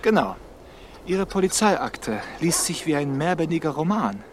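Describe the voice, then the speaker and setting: A man's voice: on the high side, sing-song, measured pace, slight background noise. A man playing a dogged police detective in a radio crime drama, questioning persistently with dry irony. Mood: probing, ironic. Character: dogged male police detective, ironic and probing.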